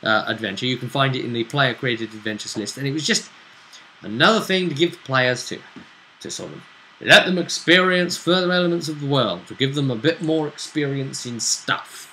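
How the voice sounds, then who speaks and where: A man's voice: mid-range voice, moderate pace, bright, forceful tone, a little hiss or hum, low-quality recording. A man casually recapping a tabletop role-play session as game master, rambling as he explains it.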